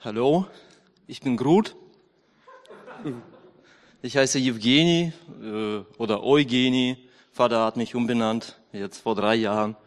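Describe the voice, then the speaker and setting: A man's voice: mid-range voice, takes it slowly, long pauses. A man giving a hesitant personal testimony in church. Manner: hesitant, with fillers. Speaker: baptism candidate. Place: church hall. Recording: reverberant church hall.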